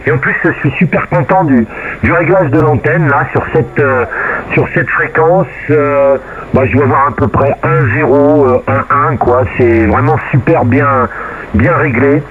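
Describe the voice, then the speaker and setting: A man's voice: fairly high pitch, unhurried. A man chatting casually and colloquially over amateur radio, often repeating his phrases. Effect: radio transmission. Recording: narrow, noisy radio transmission. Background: faint radio noise.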